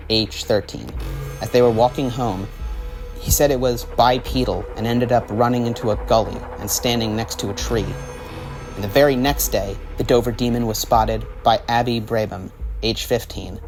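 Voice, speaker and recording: A young man reading scripted horror documentary narration in an ominous, dramatic storytelling way. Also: medium pitch. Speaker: video essay narrator. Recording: music under the voice. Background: background music.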